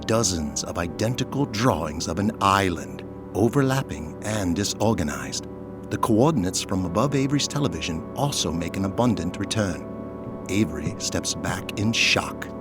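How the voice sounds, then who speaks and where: A man's voice: deep voice, animated, normal speed, over music. A man reading scripted narration for an audio drama.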